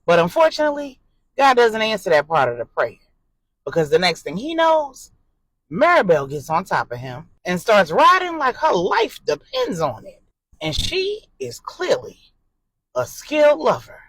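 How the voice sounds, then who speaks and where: A woman narrating an adult drama story in an expressive, suspenseful way and voicing the characters' dialogue. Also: on the high side, moderate pace, poor audio.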